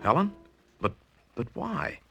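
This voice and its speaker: Puzzled heir, swooping inflection. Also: male voice, mid-range voice, sing-song, slow, vocal fry, bright, forceful tone, slightly rough, soft background music, heavily acted. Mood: baffled. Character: puzzled, earnest male heir. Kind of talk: 1940s radio drama acting.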